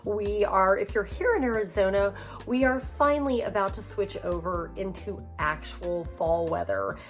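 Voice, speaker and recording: A woman hosts a relaxed style vlog from her home, her voice raspy and hoarse from a week-long cold. She talks in a chatty, upbeat way straight to her viewers, at a mid pitch, with faint music playing underneath. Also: moderate pace. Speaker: style vlogger and show host. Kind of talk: casual friendly wardrobe vlog.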